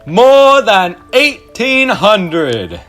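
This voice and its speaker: A man's voice: sits high, wildly swooping pitch, extremely slow, fairly bright tone, faint music behind, heavily acted. A young man playing a hearty, laughing Christmas spirit on a school stage, who turns grave at times. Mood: jovial. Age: young adult. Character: booming jovial male Christmas spirit. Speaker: student actor.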